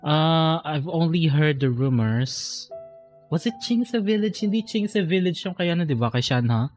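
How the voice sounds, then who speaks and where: A woman livestreamer with a Filipino accent and a low voice. She reacts casually and bluntly to a game, her pitch jumping around, with faint music behind her. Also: animated, moderate pace, a little mellow. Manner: casual, blunt, reactive, mixes in another language. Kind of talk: casual chat while playing a game.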